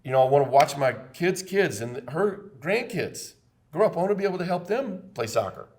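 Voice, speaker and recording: A man's voice: fairly high pitch, sing-song, brisk, darkish tone, a little hiss or hum. A man, hosting a casual health podcast in an emphatic, preachy way and often repeating himself. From Texas. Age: 50s. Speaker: podcast host, chiropractor.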